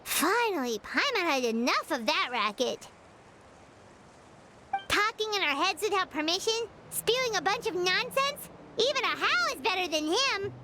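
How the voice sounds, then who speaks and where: A woman voicing a tiny, chatty, blunt companion creature in a video game, squeaky and childlike. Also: sing-song, moderate pace, noisy background. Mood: dismissive. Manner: talks of self in third person. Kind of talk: animated video game acting.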